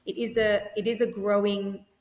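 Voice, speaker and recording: A woman speaks as a podcast guest, chatty and rambling, often trailing into "like" and starting sentences over. Her voice sits at an ordinary middle pitch. The room around her is echoey, with a long reverb tail. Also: flat delivery, moderate pace, darkish tone. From Australia. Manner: chatty and rambling, with frequent "like" fillers and restarts. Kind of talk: conversational interview.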